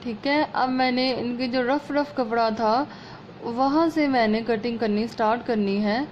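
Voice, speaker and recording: Woman, slightly hoarse from a sore throat, chatty home tutorial, fairly high pitch, dull phone audio with faint background hiss. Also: unhurried. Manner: chatty, homely, explaining as she works. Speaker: sewing channel host. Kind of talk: casual step-by-step sewing tutorial. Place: at home over a work table. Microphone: phone camera over hands. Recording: muffled lo-fi phone audio, faint room noise.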